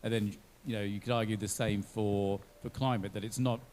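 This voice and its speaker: A man's voice: on the low side, steady tone, fairly quick, darkish tone, slight background noise. A man on a panel who thinks aloud as he talks, often restarting his sentences. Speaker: panelist from a blockchain company. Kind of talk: online panel discussion.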